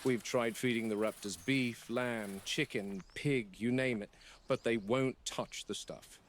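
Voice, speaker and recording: Male actor as serious warden of dangerous predators, mid-pitched, deliberate and objective, scripted found-footage horror log. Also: steady tone, slight background noise, lightly acted. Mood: measured, grave.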